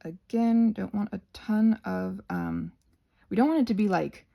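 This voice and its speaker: A woman's voice: medium pitch, expressive, unhurried, creaky, highs cut off. A woman giving a casual, rambling craft tutorial, often interrupting herself with playful asides.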